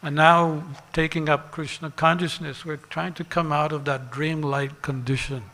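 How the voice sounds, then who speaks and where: A man giving a religious sermon as a monk and teacher, deliberate, pausing and repeating phrases for emphasis. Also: a little high, little pitch movement, sharp tone. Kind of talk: sermon with parables and rhetorical questions. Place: large reverberant temple hall. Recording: long hall reverb.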